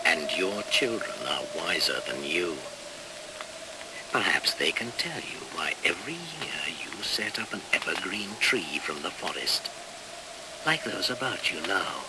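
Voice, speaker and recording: Deep-voiced, solemn shaman. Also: sing-song. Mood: grave reproach. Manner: incantatory, measured, grave. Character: solemn shaman leading a solstice rite. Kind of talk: 1970s radio play acting. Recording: noisy old 1970s radio play recording.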